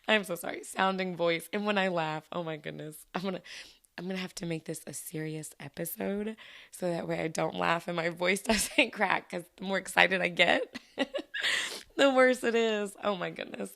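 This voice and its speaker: A woman hosting a casual solo podcast, her voice low and scratchy from allergies, chatting and giggling in a self-deprecating way. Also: normal speed, vocal fry, a bit bright. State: hoarse from allergies. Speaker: podcast host; teacher.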